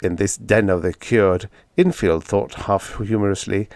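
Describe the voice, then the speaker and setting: A man's voice: fairly low pitch, unhurried, darkish tone, no echo, clean studio sound. A man reading a scripted short story aloud as an audiobook narrator.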